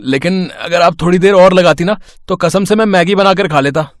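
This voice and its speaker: Man playing a cheeky, higher-voiced young son. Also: normal speed, no room sound, studio quality, close to an everyday voice. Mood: playful, protesting. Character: cheeky, good-humoured young adult son, college student.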